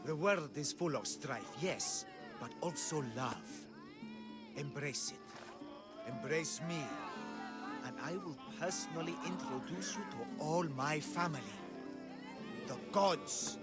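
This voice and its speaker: Slow, high-pitched, pompous self-proclaimed god. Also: male voice. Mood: grandly inviting. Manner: pompous, theatrical, declamatory. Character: grandiose, deluded self-proclaimed male god and prophet. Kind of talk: video game cutscene acting. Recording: music playing behind the voice.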